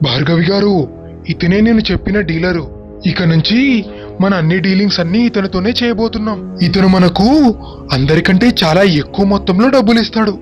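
A man playing eager, greedy schemers in the dialogue of an animated moral story. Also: high voice, fairly quick, lo-fi sound, faint music behind, close to an everyday voice. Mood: businesslike. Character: eager, greedy men plotting shady business in a village tale.

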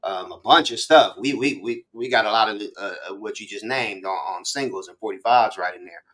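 Middle-aged American man, slangy and halting. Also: fairly low pitch, a bit bright. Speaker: interviewer, host of a hip hop show. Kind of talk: casual interview chat. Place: small room full of memorabilia, indoors, on a video call. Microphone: video call.

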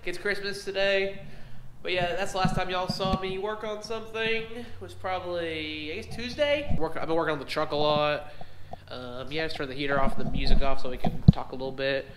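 A man's voice: high voice, a little fast. A young man with a sniffly voice, casually talking through work on his truck in a large metal garage, recorded on an action camera. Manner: laid-back, swearing, rambling. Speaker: vlogger working on a drift truck. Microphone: action camera worn or handheld. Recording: action-camera audio with clear background noise.

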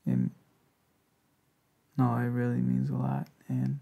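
Young guy doing soft asmr boyfriend roleplay, super creaky and breathy, takes his time. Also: big pitch swings. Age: young adult. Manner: slow, hesitant, tender, low-key while lying down. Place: indoors, lying on a bed in a bedroom.

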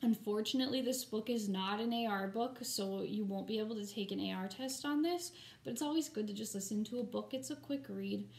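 A woman's voice: medium pitch, a little fast, creaky, hardly any pauses, a little mellow, slight background noise. A woman teacher reading a picture book aloud expressively, with informal, chatty asides for children.

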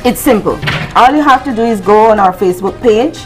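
A woman's voice: a little high, lively intonation, a little fast, faint music behind, rough recording. A middle-aged woman, chatting as a brand representative as she explains promotions and products. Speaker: brand representative guest on a cooking show. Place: TV studio kitchen set. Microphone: lapel mic.

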